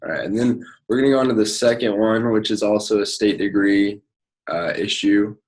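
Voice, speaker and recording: A young man from Nebraska with a fairly low voice walks listeners through convention procedures. He talks casually as a state student officer, stumbling through explanations with plenty of fillers. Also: moderate pace, poor audio. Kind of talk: explaining procedures on a conference call.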